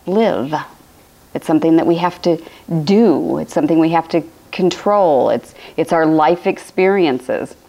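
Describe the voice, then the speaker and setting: A woman speaks in a low, raspy voice that keeps cracking. She gives a spiritual talk on a live stream from home, circling back over her points and restarting her sentences as she goes. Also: warm tone. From Illinois, USA. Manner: rambling, repetitive phrasing with frequent restarts. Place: home room with a fireplace, indoors.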